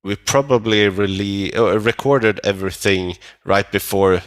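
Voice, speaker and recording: Deep-voiced Swedish man, unhurried. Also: no room sound. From Sweden. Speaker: metal band vocalist, interview guest. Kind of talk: casual interview chat.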